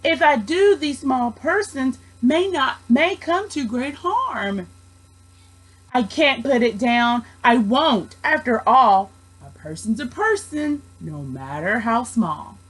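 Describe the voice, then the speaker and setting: A woman reading as a gentle, earnest storybook elephant. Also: high voice, lively intonation, takes it slowly, husky, exaggerated voice, low-quality recording. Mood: worried, resolute. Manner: earnest, emphatic. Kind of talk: children's storybook read aloud.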